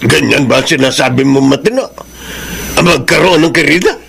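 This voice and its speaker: A man in a Filipino radio drama playing a stern father who scolds his grown daughter forcefully. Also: fairly high pitch, expressive, fairly quick, creaky, a little hiss or hum, full character voice. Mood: scolding.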